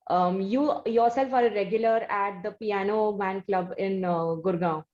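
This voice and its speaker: A woman with an Indian accent hosting an interview, asking formal, wordy questions in measured, hesitant phrases. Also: mid-range voice, darkish tone, highs cut off. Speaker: interview host.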